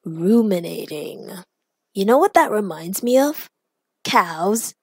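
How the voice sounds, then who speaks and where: A woman's voice: medium pitch, lively intonation, slow pace, darkish tone, husky, no echo, studio quality, heavily acted. A woman voicing a young male superhero in an audio story, cocky and teasing yet caring.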